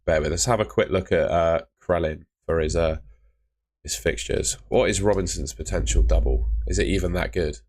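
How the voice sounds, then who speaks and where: A young man chatting live with viewers on a stream, laid-back and chatty, his voice fading and hoarse. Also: low-pitched, moderate pace, a little mellow. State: losing his voice.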